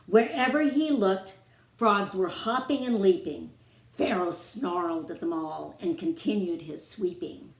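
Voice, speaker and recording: A woman reading a rhyming children's story aloud as its author, with expressive, dramatic phrasing aimed at young listeners. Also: medium pitch, measured pace. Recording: roomy, echoing room sound.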